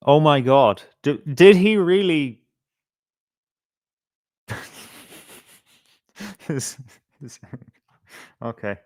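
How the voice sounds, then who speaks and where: A man's voice: fairly high pitch, lively intonation, drawn-out words, long pauses, darkish tone, no room sound, clean studio sound. A middle-aged man with an Irish accent hosting a casual live stream, swearing freely as he rambles and hesitates through his commentary. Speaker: livestream host.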